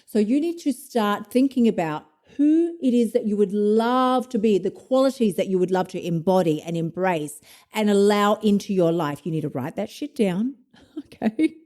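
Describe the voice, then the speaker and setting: A woman's voice: mid-range voice, animated, moderate pace, dark tone. A woman in her fifties hosting a solo podcast, talking bluntly, swearing freely and laughing at herself as she coaches listeners. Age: 50s. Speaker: podcast host, motivation coach.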